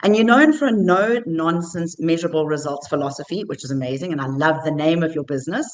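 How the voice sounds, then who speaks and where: A woman's voice: sits low, fast talker. A woman hosting a business interview podcast, introducing her guest with upbeat, affirming remarks. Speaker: podcast host.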